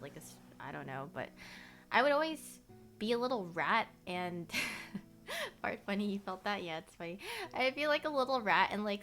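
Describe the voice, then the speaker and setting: A woman's voice: mid-range voice, a bit bright. A young woman whose first language is Korean, livestreaming casual chat with viewers. She rambles, fills her talk with "like," and laughs often.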